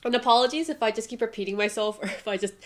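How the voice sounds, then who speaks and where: A woman's voice: fairly high pitch, rapid-fire, a little hiss or hum. A woman with a stuffy, nasal voice from allergies, chatting casually about a book she just finished, laughing lightly now and then. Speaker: book vlogger. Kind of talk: casual book review to camera.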